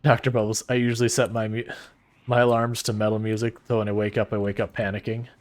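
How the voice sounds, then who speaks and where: A man's voice: on the low side, little pitch movement, fast talker. Chill streamer guy, soothing voice, kinda rambly, restarts his sentences a lot. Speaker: game streamer. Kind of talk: casual chat with stream viewers.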